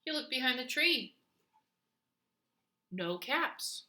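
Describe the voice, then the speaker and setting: A woman narrates a picture book for small children with a strikingly bright, forward voice. Her delivery is deliberate and dramatic, shaped for storytelling. The room around her rings with noticeable echo. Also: high voice, lively intonation. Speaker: storybook reader. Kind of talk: expressive read-aloud narration for young children. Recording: echoey room.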